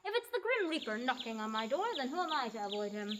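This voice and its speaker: A stubborn peasant mother acted by a young woman speaks wryly in a fairly high, lively voice. She sounds resigned and matter-of-fact. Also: fast, background noise. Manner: wry, resigned. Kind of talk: student radio play acting.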